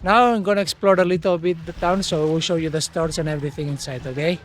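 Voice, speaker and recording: A man speaking English as a second language, vlogging casually as he walks a small town's streets and market, halting often and restarting his sentences. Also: on the high side, steady tone, noisy background. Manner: halting, with many restarts and self-corrections. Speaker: travel vlogger. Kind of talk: casual walk-and-talk vlog.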